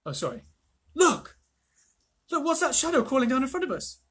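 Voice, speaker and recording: An excited young boy, quick and eager, his voice raised high in a storybook reading. Bright and slightly husky in tone, as a man puts him on. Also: heavily acted.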